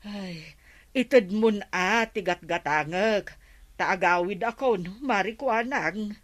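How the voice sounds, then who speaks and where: A woman's voice: mid-range voice, slow pace. An actress with a Filipino accent playing a vain, embarrassed village woman who whines plaintively in a radio comedy drama. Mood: whiny, embarrassed. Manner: whiny, plaintive, exclaiming. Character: vain, embarrassed village woman whining about her ruined face.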